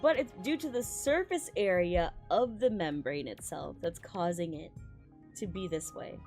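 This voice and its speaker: A woman game streamer reading story text aloud and reacting live, excitable and prone to exclaiming and stumbling over words, with game music behind her. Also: on the low side, measured pace.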